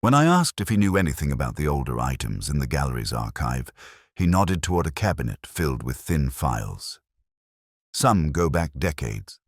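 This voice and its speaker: A man reading a scripted first-person detective story in a measured, reflective, literary manner. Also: rumbling low, no room sound, studio quality.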